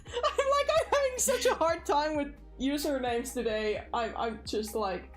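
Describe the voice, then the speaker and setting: A woman's voice: high voice, expressive, a little slow, faint music behind. A woman with a Finnish accent hosting a casual livestream, chatty and filler-heavy, laughing easily. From Finland. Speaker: livestream host, animator.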